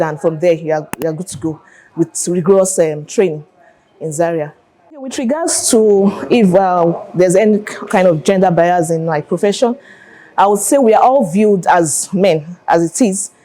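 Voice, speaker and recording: A Nigerian-accented woman, an air traffic controller, giving a halting, hesitant interview answer. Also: fairly low pitch, mellow, low-quality recording.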